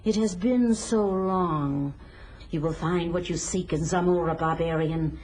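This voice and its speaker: A woman's voice: fairly low pitch, measured pace, darkish tone, a little hiss or hum, close to an everyday voice. An actress playing a seductive, menacing witch woman in an audio drama, with a theatrical, portentous delivery. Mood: sultry, mysterious. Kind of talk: 1980s audio drama acting.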